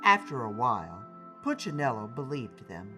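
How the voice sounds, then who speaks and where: A man reading a children's story aloud in a deliberate, emphatic manner with dramatic pauses. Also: medium pitch, very animated. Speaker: storybook reader. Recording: music behind the voice. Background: music.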